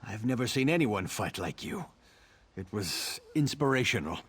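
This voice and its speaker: An actor playing an earnest army commander in a fantasy video game, briefing in a firm, deliberate way. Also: male voice, lowish voice, very animated, slightly rough, full character voice. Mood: firm, encouraging. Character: earnest male army commander, a worried father.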